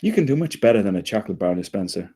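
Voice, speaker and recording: A man's voice: lowish voice, sing-song, very fast, mellow, husky. A man playing an anxious, eager spaceship crew leader, flustered and hesitant, at a comedy table read.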